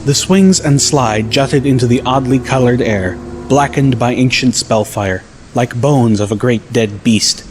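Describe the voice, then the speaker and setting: A man's voice: medium pitch, measured pace, hardly any pauses, slight background noise. A man reading scripted first-person story narration as an audiobook narrator. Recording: loud music bed under narration. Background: music.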